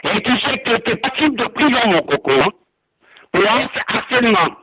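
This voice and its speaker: Indignant man with a very high-pitched voice. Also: lively intonation, normal speed, sharp tone, breathy edge, low-quality recording. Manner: indignant, repetitive, threatening. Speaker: prank call target. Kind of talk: heated phone argument.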